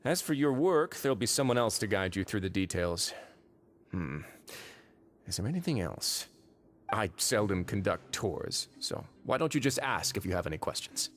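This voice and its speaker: An actor voicing a composed, dryly courteous male prison warden in a video game, speaking in a measured, polite way. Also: fairly low pitch, very animated.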